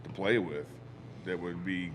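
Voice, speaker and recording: A man's voice: sits low, measured pace, slight background noise. A man from Western New York, a metal musician guest, talking casually and rambling with lots of "you know". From Western New York, USA. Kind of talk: casual interview chat.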